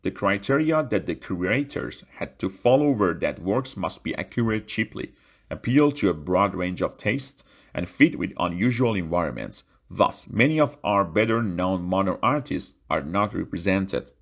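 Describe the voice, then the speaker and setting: Really low gravelly guy voice reading a study passage out loud, formal. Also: lively intonation, moderate pace. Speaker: narrator of exam practice readings. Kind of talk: scripted read-aloud of academic passages.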